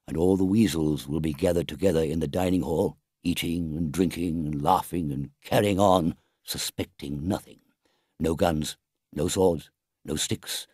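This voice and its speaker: A stern, wise old storybook badger, acted by a man, with a low and mellow voice. He speaks gravely and deliberately, with the authority of an elder. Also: no room sound, studio quality.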